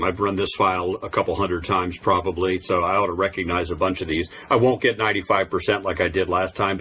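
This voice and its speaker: Casting: man, deep low voice, casual hobby vlogger, easygoing and self-deprecating, light noise behind him. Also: a bit bright. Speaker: amateur radio Morse code video maker. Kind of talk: casual vlog chat.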